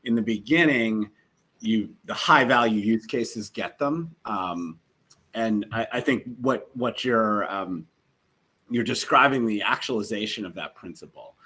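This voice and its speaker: A man's voice: fairly low pitch, measured pace, sharp tone, husky, rough recording. A man in his thirties, a venture investor, talking thoughtfully on a video call with frequent restarts. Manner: thoughtful, many restarts and fillers. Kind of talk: panel discussion on video call. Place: bright home office.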